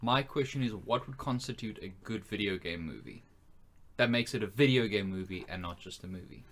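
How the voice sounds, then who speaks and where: A man's voice: on the low side, fairly quick. A man co-hosting a casual podcast, speaking in an articulate, analytical and sarcastic way. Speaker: podcast co-host.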